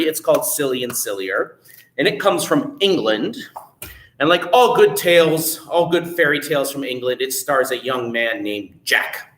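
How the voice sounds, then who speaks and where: A man telling a children's story at a library storytime, animated and playful, chatting with his young listeners. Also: fairly high pitch, echoey room.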